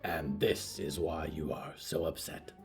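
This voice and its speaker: A man voicing a calm demon hotel manager who speaks slowly, in deliberate, formal phrases. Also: a bass voice, faint music behind, voice pushed down. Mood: calm, puzzled. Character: calm, deep-voiced demon hotel manager, low and measured.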